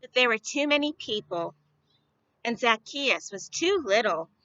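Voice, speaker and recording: A woman telling stories to young children in a simple, deliberate, expressive way, often putting questions to them. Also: fairly high pitch, muffled recording. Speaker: children's storyteller at a church. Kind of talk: read-aloud storytelling for young children.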